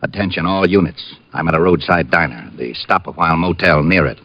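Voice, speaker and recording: A police lieutenant in a vintage radio crime show. His voice is deep and gruff, his delivery clipped and all business. The recording has the dull, muffled sound of an old broadcast. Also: a little fast. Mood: tense, brisk. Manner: clipped, matter-of-fact. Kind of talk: old-time radio crime drama acting.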